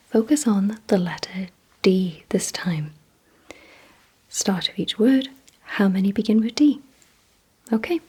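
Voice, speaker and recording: Breathy whispering ASMR woman, slow and deliberate. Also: medium pitch. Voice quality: breathy, mostly unvoiced whisper. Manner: slow, deliberate, hushed delivery with short pauses. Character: calm, softly commanding female vampire examiner. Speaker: ASMR performer. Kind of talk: whispered ASMR roleplay, giving instructions and word games.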